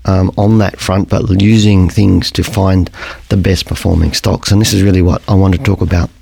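A middle-aged man with a croaky voice hosting a podcast, explaining things in a rambling, conversational way and often correcting himself. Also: low voice, little pitch movement, normal speed, mellow. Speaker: podcast host. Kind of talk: casual educational podcast monologue.

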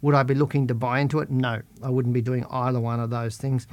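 A middle-aged man with a croaky voice from a head cold, hosting a podcast and casually answering listener questions while reading stock charts. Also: mid-range voice, flat delivery, quick pace, a little mellow, no echo, muffled recording. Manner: chatty, plain-spoken, talks while reading charts. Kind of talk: casual market commentary.

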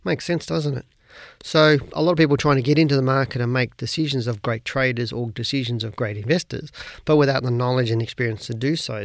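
Croaky-voiced man coaching with rhetorical questions. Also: medium pitch, no room sound, studio quality. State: slightly croaky voice. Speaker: podcast host. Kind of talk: casual solo podcast advice.

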